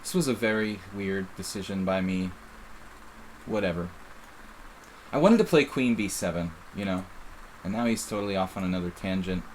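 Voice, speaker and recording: A middle-aged man with a faint Philadelphia accent and a fairly low voice talks through a chess game as he plays. He rambles casually and breaks off his own sentences to restart them. A faint hiss of noise sits under the voice. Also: expressive, normal speed, low-quality recording. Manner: casual, rambling, self-interrupting. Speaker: chess streamer. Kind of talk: live chess commentary.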